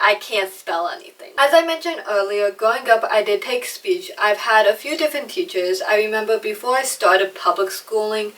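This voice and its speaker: A woman's voice: mid-range voice, fairly bright tone, recorded from a distance, poor audio. Chatty young woman with a slight lisp. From China, raised in America. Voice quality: slight lisp, trouble with R sounds. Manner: chatty, self-deprecating, mimes words out. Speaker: vlogger. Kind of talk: personal storytelling vlog.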